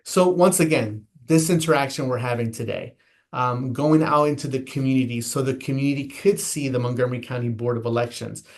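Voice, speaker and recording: A man's voice: medium pitch, fairly quick. Earnest, emphatic man explaining voting. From United States. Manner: earnest, emphatic, with frequent repetitions and restarts. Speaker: elections official, guest. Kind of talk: interview explanation.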